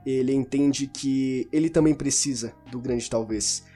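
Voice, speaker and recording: A man's voice: medium pitch, a little slow, mellow, soft background music. A man talking informally about a novel, rambling, restarting and correcting himself as he goes. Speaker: book reviewer. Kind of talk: casual spoken book discussion.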